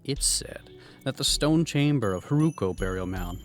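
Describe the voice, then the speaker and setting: A man's voice: mid-range voice, lively intonation, normal speed, lightly acted. A man voicing an obsessive former archaeology professor in a fan manga dub, earnest and musing. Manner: earnest, musing inner monologue. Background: music.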